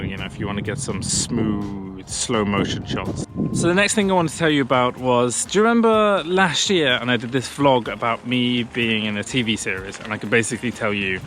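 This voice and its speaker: A man's voice: fairly low pitch, fairly quick, noisy background. Chatty man vlogging outdoors. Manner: chatty, self-deprecating, upbeat. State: out of breath pushing uphill. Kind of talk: casual vlog to camera. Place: outdoors on a country path. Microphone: camera on a pushchair, walking.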